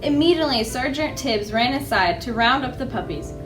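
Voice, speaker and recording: High-voiced woman reading a children's storybook. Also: animated, fairly quick. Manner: dramatic, with asides to young listeners. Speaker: library storyteller. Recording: echoey room with faint music.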